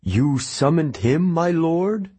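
A man's voice: on the low side, animated, slow, mellow, slightly rough, no room sound, full character voice. A man voicing a nervous, deferential male servant in an audiobook. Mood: tense. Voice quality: anxious, deferential. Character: nervous invisible male servant, fawning toward his master.

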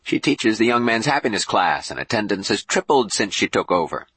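A man voicing a brash, jovial bishop in an audiobook, a folksy, booming hard-sell showman. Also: sing-song, brisk, a bit bright, husky, dry recording, heavily acted. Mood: jovial, boastful. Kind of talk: audiobook character voice.

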